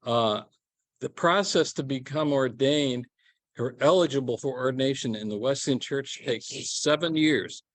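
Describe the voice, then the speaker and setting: A man's voice: mid-range voice, measured pace, dry recording. An older man speaking on a panel as a former minister, in a rambling, self-deprecating way. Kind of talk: panel discussion. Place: event hall.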